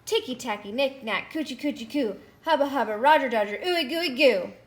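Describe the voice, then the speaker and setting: An earnest young schoolgirl, acted out by a woman reading a storybook, speaks high and sing-song. She tumbles through silly rhyming words in an exclamatory way. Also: expressive, fairly quick. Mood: blurting.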